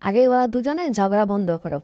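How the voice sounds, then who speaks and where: A woman's voice: mid-range voice, expressive, a little fast, dark tone, dry recording, muffled recording, close to an everyday voice. A woman voicing a contented, chatty young fox wife in a cartoon story. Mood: assertive.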